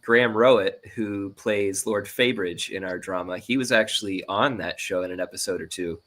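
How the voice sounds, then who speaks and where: Male interview guest with a lowish voice, chatting informally and giving encouraging, practical advice. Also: moderate pace, fairly bright tone. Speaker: guest, sound designer. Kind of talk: casual interview chat.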